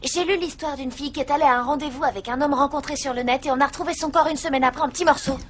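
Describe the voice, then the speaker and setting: A woman's voice: high-pitched, steady tone, rapid-fire, a little hiss or hum, close to an everyday voice. An actress playing a chatty, teasing teenage girl.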